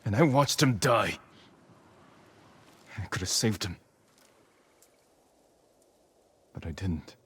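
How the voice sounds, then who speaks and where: A low-voiced, grim young samurai. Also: male voice, lively intonation, measured pace, husky, exaggerated voice. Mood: regretful. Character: grim young samurai lord haunted by his father's death. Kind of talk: dramatic video game cutscene acting.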